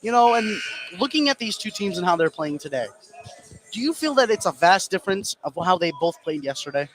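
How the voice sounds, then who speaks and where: High-pitched man calling basketball on radio. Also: very animated, brisk, over music. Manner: running narration in short clipped phrases. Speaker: radio play-by-play commentator. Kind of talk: live high school basketball commentary. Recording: noisy gym ambience behind. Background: ambient noise of a basketball game.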